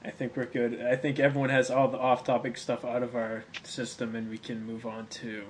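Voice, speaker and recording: A man's voice: mid-range voice, level tone, a little fast, a little hiss or hum. A man hosting a podcast, teasing in casual banter and laughing often. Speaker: podcast host.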